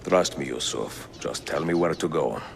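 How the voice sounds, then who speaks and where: An older man with an Italian accent, playing a wise, weary and resolute aging assassin in a video game, speaking in a calm, measured way. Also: extremely low pitch, vocal fry, slight background noise. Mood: calm, determined. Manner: measured, deliberate. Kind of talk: cinematic game acting, grave and measured. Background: music.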